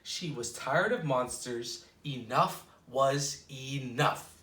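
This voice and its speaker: A man hosting a children's storytime, reading a picture book aloud in an expressive, playful way with drawn-out dramatic words. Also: mid-range voice. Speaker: children's storytime host at a library. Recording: roomy echo, distant microphone.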